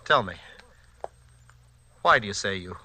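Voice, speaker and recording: An actor playing an agitated, earnest husband who seems desperate, in 1940s radio suspense style. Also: male voice, lowish voice, expressive, measured pace, sharp tone, full character voice. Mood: grim, determined. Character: frantic, determined husband whom others think insane.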